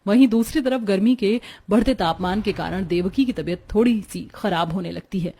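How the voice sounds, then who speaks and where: A woman's voice: mid-range voice, moderate pace, mellow. A woman narrating a scripted story.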